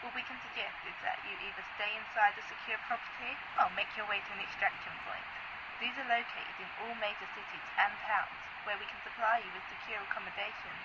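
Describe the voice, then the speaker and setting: A woman's voice: mid-range voice, steady tone, fast. A woman playing a solemn military announcer giving a formal emergency broadcast through a radio filter in an audio drama. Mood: grave, official. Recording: muffled radio broadcast, loud static noise.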